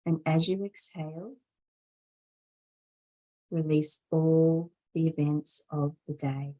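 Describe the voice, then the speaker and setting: A woman yoga teacher guides a meditation in a low, softly mellow voice. Her delivery is very unhurried and soothing, with deliberate phrasing and long pauses. She sounds calm and instructive throughout. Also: rough recording.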